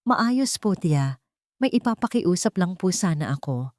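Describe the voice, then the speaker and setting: A woman's voice: on the low side, very animated, measured pace, darkish tone, dry recording, studio quality. Pleading young Filipina housemaid, voiced by a woman. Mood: restrained, polite. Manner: pleading, hesitant, deferential. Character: anguished young housemaid mother, pleading and respectful.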